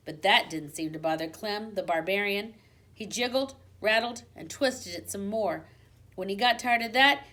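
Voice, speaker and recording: A woman reading a children's storybook aloud in an expressive, dramatic manner, dropping to a hush for suspense. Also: fairly low pitch. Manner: dramatic, with hushed suspenseful drops.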